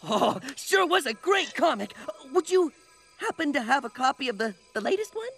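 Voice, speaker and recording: A man's voice: very high-pitched, very animated, normal speed, gravelly, a bit bright, faint music behind, full character voice. An actor playing a friendly, naive and dim-witted cartoon jungle man who speaks of himself in the third person. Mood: eager. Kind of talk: animated cartoon acting.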